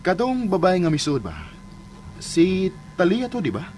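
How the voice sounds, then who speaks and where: A man's voice: sits high, very animated, unhurried, a little mellow, a little hiss or hum. A man acting in a Philippine radio drama as an inquisitive man secretly tailing someone, watchful, with a Filipino accent. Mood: curious, polite. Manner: watchful, self-narrating, inquisitive.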